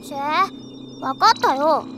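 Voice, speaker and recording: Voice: sits high, expressive, unhurried, noisy background. An actor playing an innocent, eager little boy in a Japanese audio drama, speaking in an earnest childlike way. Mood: pouty. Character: eager, innocent little boy who admires his big brother figure. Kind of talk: Japanese anime-style audio drama acting.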